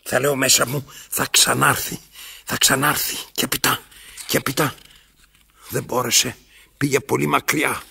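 Gravelly, anguished old tyrant. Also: male voice, medium pitch, level tone, pushed and bright, exaggerated voice. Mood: brooding. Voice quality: rasping, gravelly. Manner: halting, repeats words, dramatic pauses. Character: blind, domineering old master, needy and anguished. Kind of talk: theatrical radio drama acting.

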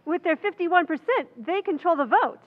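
An actress playing a sensible, skeptical wife in an old-time radio comedy, earnest and gently reproving. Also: female voice, very high voice, animated, moderate pace. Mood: doubtful. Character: sensible, skeptical wife who gently challenges her husband. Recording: tinny, phone-like sound with long reverb.

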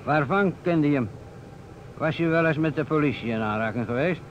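A man's voice: fairly high pitch, brisk, natural voice. An actor playing a dry, probing police inspector, a man with a curt questioning manner, in an old radio play. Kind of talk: vintage Dutch radio play acting. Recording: dull, noisy old radio recording.

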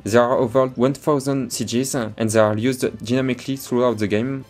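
A man's voice: mid-range voice, a little mellow. A man whose native language is French, narrating scripted game reviews as a video presenter. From French-speaking country. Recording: music under the voice. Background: background music.

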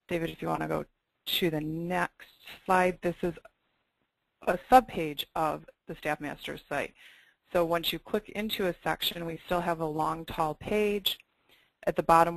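A webinar presenter talks an audience through a series of slides, explaining each point plainly and now and then stumbling over a filler. The voice carries a gravelly, creaky edge, and it sounds dry and close, with no room around it. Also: mid-range voice, no echo, rough recording. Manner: clear, explanatory, occasional fillers. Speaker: web design presenter.

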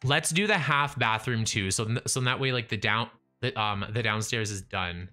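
Chatty young man, bright voice. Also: lowish voice, moderate pace, faint music behind. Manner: chatty, self-talking, restarts and trails off. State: losing his voice. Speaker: livestreaming gamer. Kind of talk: casual live-stream chat while building. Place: small room at home. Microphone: studio mic in front of face.

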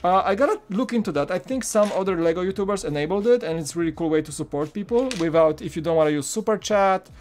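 A man's voice: sits high, expressive, fast, few pauses, soft background music. Man, thirties, Polish accent, Polish native speaker, casual live-stream chat, home room, headset mic. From Poland. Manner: chatty, loose, many fillers and restarts.

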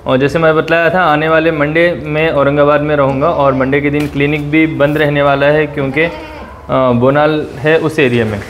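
Man, fairly high voice, repeats details back, faint room ambience, goat pen. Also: level tone, moderate pace, a little hiss or hum. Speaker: host of a goat-sale channel. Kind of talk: casual on-site interview.

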